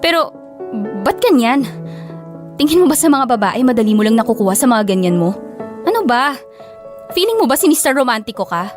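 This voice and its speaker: A high-voiced, exasperated young Filipina. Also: female voice, sing-song, a little fast, pauses often, music in the background. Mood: exasperated, teasing. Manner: scolding, blunt, guarded. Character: guarded, exasperated young female office worker, sharp-tongued. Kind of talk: Tagalog audio drama acting.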